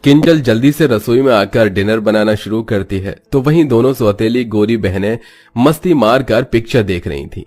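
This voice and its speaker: Low-voiced male story narrator. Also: moderate pace, a little mellow, soft background music.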